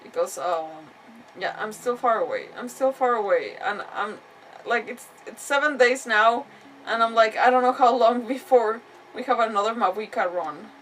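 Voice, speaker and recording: High-voiced woman streaming, away from the mic. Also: normal speed, recorded from a distance, slight background noise, low-quality recording. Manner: rambling, expressive, self-interrupting. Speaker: video game livestreamer. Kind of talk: casual livestream game commentary.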